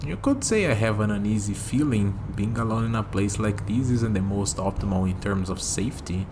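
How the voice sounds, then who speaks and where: A man's voice: on the low side, expressive, moderate pace, noisy background, close to an everyday voice. A man acting a friendly, easygoing human on a night walk in an ASMR roleplay, musing conversationally to the listener. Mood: casual, musing.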